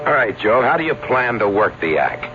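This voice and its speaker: An actor in a radio drama playing a wry, skeptical show-business agent with a dry, sarcastic manner. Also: male voice, mid-range voice, very animated, moderate pace. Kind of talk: old-time radio drama acting. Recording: dull radio recording, loud music.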